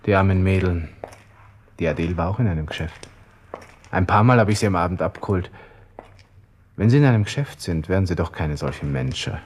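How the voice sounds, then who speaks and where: An actor playing a self-pitying, vain young army lieutenant with a Viennese accent, muttering an interior monologue to himself in a radio play, by turns flippant and sentimental. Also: male voice, low-pitched, expressive, a little fast, pauses often. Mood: brooding, flippant. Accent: Viennese Austrian. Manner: brooding, talking to himself, shifting between flippant and sentimental.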